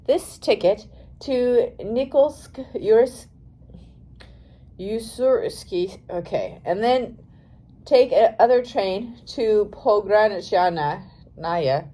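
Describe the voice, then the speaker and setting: Brusque male interpreter for an audiobook, clipped broken English, curt and halting, performed by a woman. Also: mid-range voice, expressive, mellow, low-quality recording. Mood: curt, commanding.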